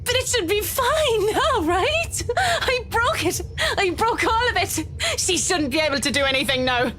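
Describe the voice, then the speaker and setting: A rattled, frightened young woman in a horror visual novel. Her voice sits very high and swings dramatically as she pleads, falters and curses. Some ambient noise sits behind her. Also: very animated, a bit bright, heavily acted. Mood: distraught. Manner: halting, swearing, pleading. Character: terrified, rattled young woman trapped in a haunted mansion.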